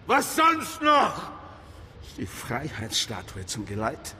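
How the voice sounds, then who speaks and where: An actor playing a blustering, sarcastic police chief giving orders in a crime radio drama. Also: male voice, mid-range voice, huge pitch swings, slow pace, bright, forceful tone, long reverb tail, slight background noise, heavily acted. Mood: exasperated. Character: gruff, irascible male police chief.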